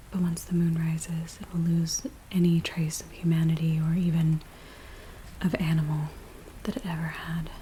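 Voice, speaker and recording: A woman acting as an anxious village woman in a spooky ASMR roleplay. She speaks in a gentle, breathy near-whisper, fairly low and very even in pitch. Steady rain sounds behind her. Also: lightly acted, poor audio. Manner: hushed, gentle, hesitant. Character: anxious, earnest village woman briefing a werewolf tracker. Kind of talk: soft-spoken ASMR roleplay. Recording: steady rain noise behind close soft voice. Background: rain ambience.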